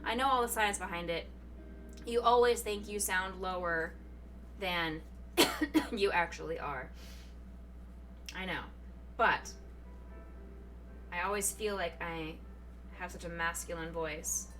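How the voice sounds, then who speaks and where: A woman's voice: takes it slowly, pauses often, fairly bright tone, recorded from a distance. A young woman streaming from home, chatting casually and playfully with chat while fighting off hiccups. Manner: chatty and playful, swings into high surprised exclamations. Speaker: Twitch streamer. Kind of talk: casual live-stream chat, reading chat messages. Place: home room. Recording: voice a little off-mic, music behind.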